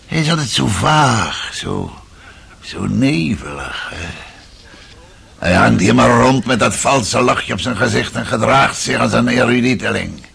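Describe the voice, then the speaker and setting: Gravelly, husky old lecherous father. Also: lowish voice, a bit bright, full character voice. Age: older. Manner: rambling, muttering, with sighs and grunts. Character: aging lecherous, miserly, self-pitying Russian father. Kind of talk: radio drama acting.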